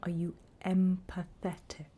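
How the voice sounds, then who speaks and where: A British woman playing a sly, greedy female demon auctioneer in a whispered ASMR roleplay, with a soft breathy voice and a deliberate, coolly questioning manner. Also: on the low side, monotone. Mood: dry, teasing.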